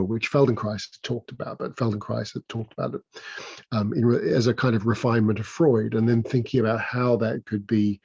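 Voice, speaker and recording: A man, an author guest on an online panel, talking in a measured, academic way with frequent restarts. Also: mid-range voice, gravelly, a little mellow, breathy edge, dry recording, highs cut off. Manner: measured, academic, repeats words. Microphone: video call.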